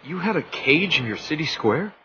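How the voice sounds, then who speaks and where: An actor playing a sarcastic young rogue adventurer who talks in an offhand, wry way. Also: male voice, on the high side, very animated, normal speed, bright, forceful tone, highs cut off, a little hiss or hum.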